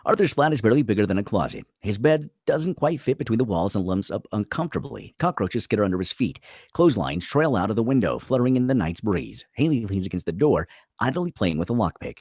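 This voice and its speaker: A man's voice: fairly low pitch, big pitch swings, fast talker, a little mellow, dry recording, studio quality. A man narrating screenplay stage directions dramatically at a table read.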